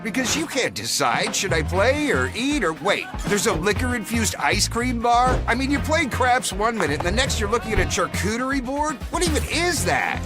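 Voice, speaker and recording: A man's voice: high voice, sing-song, normal speed, few pauses, music in the background. A man doing a scripted comic commercial monologue in a mock-bewildered, questioning manner. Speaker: commercial voice-over.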